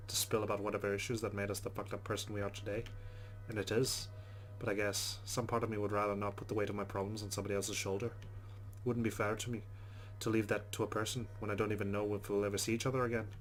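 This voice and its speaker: A man's voice: fairly low pitch, flat delivery, quick pace, close to an everyday voice. A man reading the first-person thoughts of a rueful young Irish woman in a visual novel, with a put-on Irish accent.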